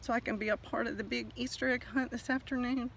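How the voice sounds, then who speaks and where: A man's voice: very high voice, flat delivery, quick pace, gravelly, fairly bright tone, a little hiss or hum, pitched above the performer's own voice, heavily acted, low-quality recording. A man voicing a frightened little male Easter egg that pleads for help in a storybook tale. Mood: pleading. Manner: pleading, breathless.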